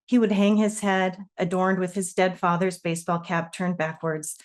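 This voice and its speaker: A woman's voice: lowish voice, level tone, normal speed. A woman author speaking at a literary reading, presenting her own fiction. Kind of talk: reading own novel aloud, with informal introduction.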